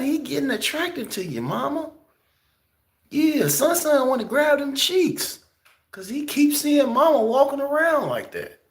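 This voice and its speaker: Slangy man preaching to camera. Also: creaky. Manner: emphatic street preaching, slangy, swoops high for emphasis. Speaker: host. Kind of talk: casual preaching monologue.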